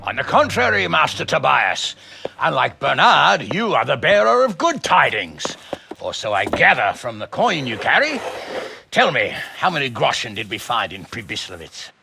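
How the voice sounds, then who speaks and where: A man's voice: medium pitch, huge pitch swings, normal speed, creaky, over music, heavily acted. An actor playing a blunt, foul-mouthed and explosive medieval nobleman in a dramatic game cutscene. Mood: sardonic.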